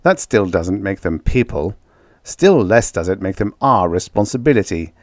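A man's voice: deep voice, expressive, normal speed, no echo, studio quality. A man voicing skeptical, exasperated men of a space expedition in a story, questioning and grudging.

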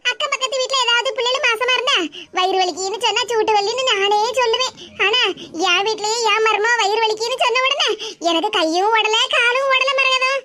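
A woman's voice: extremely high pitch, fairly quick, voice pushed up. A woman voicing a fretful elderly village grandmother who pleads and wails. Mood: relieved. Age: older.

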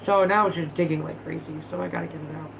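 A woman's voice: deep voice, fairly even intonation, fast talker, vocal fry, background noise. A woman in her thirties hosting a casual livestream at home, stuffed up from allergies, chatting bluntly and swearing freely while she works with her hands. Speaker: vlog host. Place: living room at home, indoors.

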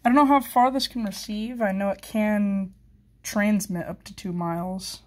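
A woman's voice: medium pitch, fairly even intonation, moderate pace, a little hiss or hum. A woman chatting informally in a hobby vlog, rambling and thinking aloud as she goes. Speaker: hobbyist video maker. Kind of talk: casual vlog chat.

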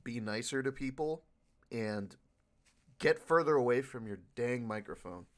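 A man who makes comic dubs, chatting in a relaxed way from a home microphone. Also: medium pitch, measured pace. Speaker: comic dubber on panel. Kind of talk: casual online panel chat. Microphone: home mic with audio interface.

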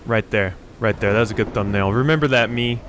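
A man's voice: lowish voice, brisk, a bit bright. A man giving casual let's-play commentary, thinking aloud in a rambling way and often repeating his phrases. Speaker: gaming YouTuber, let's player. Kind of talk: casual video game commentary. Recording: faint game ambience noise. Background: game ambience.

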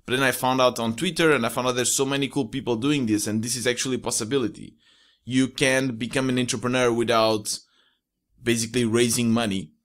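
Young male podcast guest, Portuguese accent, mid-pitched voice, casual conversational delivery, close mic. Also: steady tone, a little fast, a bit bright. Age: young adult. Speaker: podcast guest, indie entrepreneur. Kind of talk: casual interview chat. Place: small room at home. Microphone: close studio mic with pop filter.

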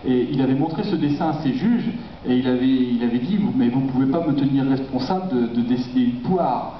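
A man's voice: medium pitch, brisk, far from the mic. A man gives a public lecture with slides, hesitating often as he searches for words. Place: dark auditorium. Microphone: distant camera in the audience. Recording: echoey, dull, noisy auditorium recording from afar.

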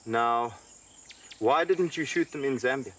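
A man's voice: mid-range voice, measured pace, a bit bright, slight background noise. A man with a mid-range voice questions someone insistently, repeating and rephrasing to pin down answers. He is recorded from some distance outdoors, with faint crickets and insects in the background. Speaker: interrogator. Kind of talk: interrogation. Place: outdoors in the bush.

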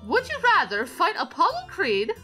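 A woman's voice: extremely high pitch, wildly swooping pitch, moderate pace, bright, forceful tone, soft background music, heavily acted. A woman voicing an elegant female anime attendant, teasing and playfully prim, in improvised gaming banter. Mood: chatty, curious.

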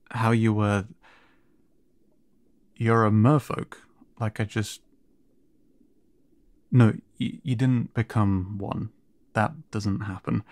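A man playing a gentle, flustered siren in an audio roleplay, speaking haltingly and stammering with concern, often dropping to a breathy near-whisper. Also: lowish voice, no echo. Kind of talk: audio roleplay addressed to the listener.

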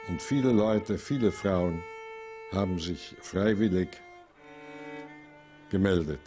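A man recounts memories in a very deep voice. He goes very slowly, phrase by phrase, with faint string music playing behind him. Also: mellow. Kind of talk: recounting memories, slow testimony.

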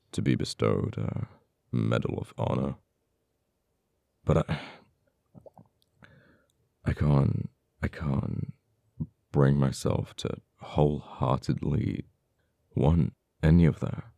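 Man acting a very deep, gravelly, bitter government official. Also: warm tone, dry recording, studio quality, heavily acted. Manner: halting, teasing, dramatic pauses and repeated words. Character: uptight male government official, cold, bitter, mourning lost friend. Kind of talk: ASMR roleplay acting.

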